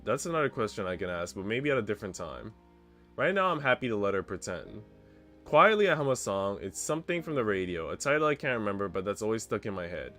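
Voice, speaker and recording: A man reads story narration from a visual novel for a stream. His voice sits a little low, and game music plays behind him. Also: fairly bright tone. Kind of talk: narration read aloud.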